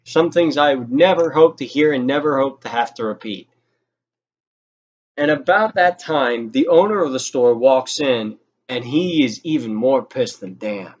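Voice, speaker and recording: A man's voice: mid-range voice, unhurried, highs cut off. A young man telling a personal anecdote in an informal, sweary, exasperated way, acting out what people said. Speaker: storyteller. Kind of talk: animated personal anecdote with acted-out quotes.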